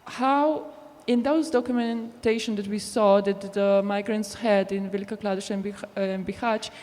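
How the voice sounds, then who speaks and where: A woman's voice: medium pitch, steady tone, moderate pace, darkish tone. Woman presenting in a very echoey hall. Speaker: conference panel speaker. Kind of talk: explanatory conference presentation. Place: indoor conference venue. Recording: echoey hall with long reverb.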